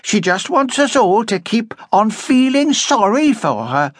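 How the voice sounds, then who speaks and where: A man's voice: high voice, very animated, moderate pace, a bit bright, no room sound, studio quality, pitched above the performer's own voice, full character voice. A man voicing a spiteful young woman, the innkeeper's daughter, sneering and coarse.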